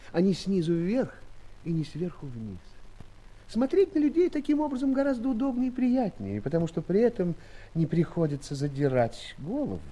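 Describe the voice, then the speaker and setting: An actor playing a wry storyteller, narrating a fairy tale with theatrical, expressive delivery and dramatic pauses. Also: male voice, high voice, rough recording. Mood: gently amused. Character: wry male storyteller addressing young friends. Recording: 1970s studio audio play, music behind.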